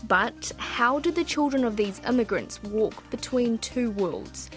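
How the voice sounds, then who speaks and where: A woman's voice: fairly high pitch, animated, a little slow, soft background music. Kiwi woman hosting a news podcast. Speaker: podcast host. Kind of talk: scripted narration and interviewing.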